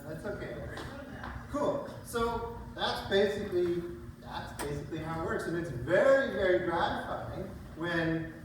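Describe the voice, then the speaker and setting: A laid-back man talks to a lecture-hall crowd about drumming, stumbling over his words and restarting often. He sounds far from the mic, his voice bouncing around a big echoey hall. A steady hiss of noise sits behind him. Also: sits high. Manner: easygoing, halting, restarts words, fillers. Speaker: drummer answering questions after a performance. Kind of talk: informal talk to an audience. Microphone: distant room mic.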